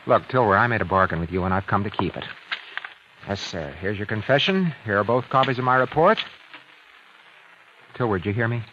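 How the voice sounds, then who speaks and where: Actor as smug killer in old radio drama. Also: male voice, lowish voice, expressive, brisk, pauses often, slight background noise, natural voice. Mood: brisk, exasperated. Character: cold, self-satisfied man who got away with murder. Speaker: actor narrating in first person as the lead. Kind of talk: 1940s-50s radio acting. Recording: dull vintage radio recording with background music.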